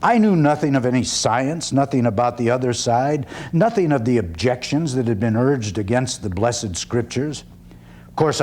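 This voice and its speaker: A man performing a dramatic one-man stage reading as an earnest, skeptical 19th-century orator, with deliberate, emphatic phrasing and pauses. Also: medium pitch, darkish tone, breathy edge. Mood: earnest, recollecting.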